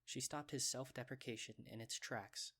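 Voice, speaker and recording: A man's voice: medium pitch, steady tone, moderate pace, bright, forceful tone. A man narrating a scripted story in an audiobook style.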